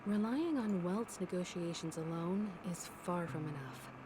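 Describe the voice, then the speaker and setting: A woman voicing a shrewd, composed strategist in a video game, calm and deliberate. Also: lowish voice, background noise.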